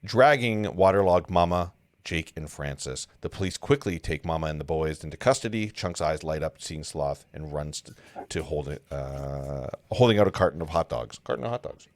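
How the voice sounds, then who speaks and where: A man's voice: very deep voice, expressive, moderate pace, no echo. A man hosting a table read, reading stage directions aloud in an informal, amused way with casual asides. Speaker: host and stage-direction reader.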